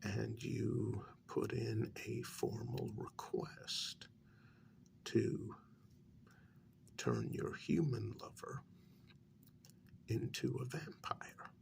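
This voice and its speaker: Male performer for courteous human go-between in vampire ASMR drama: hushed near-whisper, very heavy vocal fry, measured and frank. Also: fairly low pitch, fairly even intonation, heavily acted. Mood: calm, measured. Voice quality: breathy, whispery, creaky. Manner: slow, hesitant, polite, measured. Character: calm, courteous, bluntly honest human mediator among vampires. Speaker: ASMR role-play performer. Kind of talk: soft-spoken ASMR role-play.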